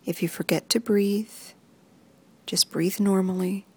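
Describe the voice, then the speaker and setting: A woman reading a guided muscle relaxation script in soft, even instructions that often sink into a whisper. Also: mid-range voice, measured pace, breathy edge.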